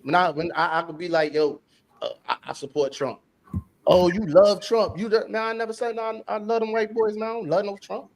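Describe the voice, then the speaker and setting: A man's voice: high voice, steady tone, a little fast, vocal fry. A man from New York, a podcast co-host, talking bluntly in casual debate, full of slang and repeating himself.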